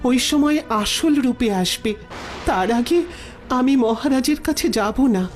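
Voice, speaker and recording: Casting: actress for grieving, resigned queen, high plaintive voice, melodramatic fairy-tale audio drama, music beneath. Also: expressive, a little slow. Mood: sorrowful, shocked. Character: sorrowful, resigned young queen, grieving mother. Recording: music under the voice.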